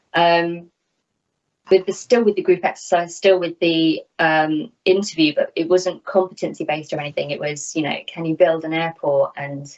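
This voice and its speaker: A woman in her thirties giving candid, self-deprecating, conversational answers as an interview guest from a small room at home. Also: on the low side. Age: 30s. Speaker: air traffic controller, interview guest. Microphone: video call, laptop at arm's length. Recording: slightly dull video-call audio.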